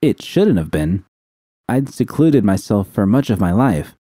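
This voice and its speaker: Dark, very low-voiced man reading a story. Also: big pitch swings, a little slow, husky, no room sound, clean studio sound. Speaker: audiobook reader of fan fiction. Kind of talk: scripted first-person story narration.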